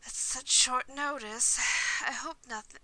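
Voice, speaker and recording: A woman playing an excitable, devoted vampire lady's maid in a roleplay audio drama, speaking formally and chattily to the listener. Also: sits high, unhurried, exaggerated voice, low-quality recording. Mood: attentive, helpful. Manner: polite, formal, eager to please, chatty.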